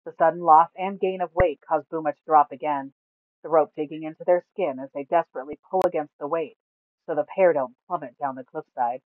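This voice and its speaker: A woman storyteller reading scripted fantasy narration aloud in a measured, dramatic way. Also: low-pitched, dry recording. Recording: narrow, phone-like sound.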